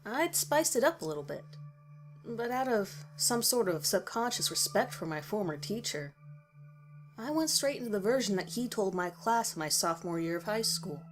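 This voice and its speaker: A woman's voice: mid-range voice, lively intonation, normal speed, rough recording. A woman reading a first-person horror story as a scripted narration. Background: music.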